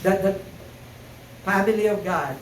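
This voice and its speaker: A man's voice: high voice, unhurried, slight background noise. A man preaching a sermon, hesitant with many fillers, then building to emphatic repeated phrases. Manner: hesitant with frequent fillers, rising to emphatic repetition. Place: church sanctuary. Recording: echoey room, voice from far off.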